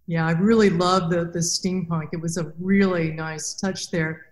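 A woman's voice: fairly low pitch, fairly even intonation, measured pace. A middle-aged woman interviewing conversationally as a podcast host over a video call. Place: indoor room, video call. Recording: roomy, echoing video-call sound.

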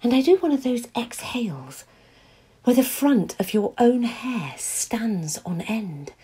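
A woman playing a weary, wry, anxious young boy's mother in an intimate audio-play monologue, confiding and at times dropping into breathy hushed tones. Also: on the high side, big pitch swings, slow pace, heavily acted, low-quality recording.

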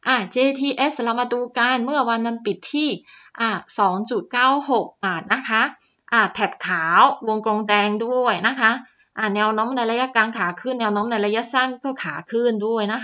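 A woman's voice: mid-range voice, pushed and bright, dull audio. A woman walking through stock charts as a teacher, chatty, with lots of fillers and polite particles. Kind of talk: screen-share chart walkthrough. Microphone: voice-over on screen recording.

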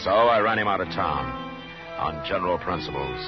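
Deep-voiced, laconic western marshal. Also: male voice, animated. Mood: grim narration. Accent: American. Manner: laconic, measured. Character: terse, world-weary male frontier marshal. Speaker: lead actor and narrator. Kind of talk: 1950s radio western acting and narration. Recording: muffled vintage radio, music behind.

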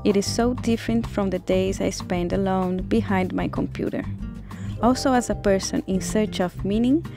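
A woman's voice: mid-range voice, normal speed, vocal fry, music in the background. A woman from Brazil introducing herself in an earnest, reflective way. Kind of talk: scripted self-introduction.

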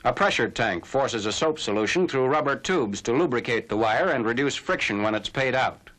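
A man narrating a scripted 1940s military newsreel in a formal, measured announcer's delivery. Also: medium pitch, animated, a bit bright. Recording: noisy old 1940s film soundtrack.

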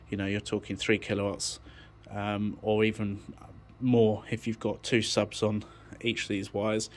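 Lower-pitched man explaining tech informally. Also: a little hiss or hum. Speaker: audio technician presenting a tech channel. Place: indoors, beside an amplifier rack.

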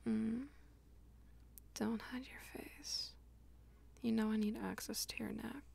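A woman playing a dominant, teasing female vampire lover in an intimate ASMR roleplay, coaxing and breathy, often dropping toward a whisper. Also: a little high, steady tone, a little mellow, heavily acted. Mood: seductive, teasing. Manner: slow, coaxing, teasing pet names.

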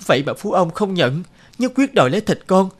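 A man voicing a poor, anxious peasant in an animated folk tale, humble and pleading. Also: high voice, a little fast. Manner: pleading, deferential.

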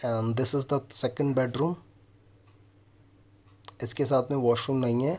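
An Indian man in his thirties hosts a property tour video. He explains features directly to the viewer in the manner of a consultant, with a medium-pitched voice. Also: steady tone, takes it slowly, mellow. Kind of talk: property walkthrough presentation mixing Hindi and English.

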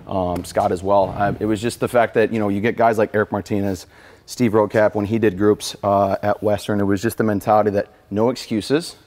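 A man in his twenties, a tennis player, giving rambling and animated answers full of restarts in a post-match interview in an indoor tennis hall. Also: fairly low pitch. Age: 20s.

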